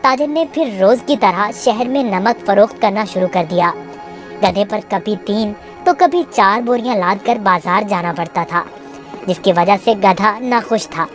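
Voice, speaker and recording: A woman's voice: medium pitch, a little slow. A woman reading a scripted moral tale for children as the storyteller. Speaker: narrator. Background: music.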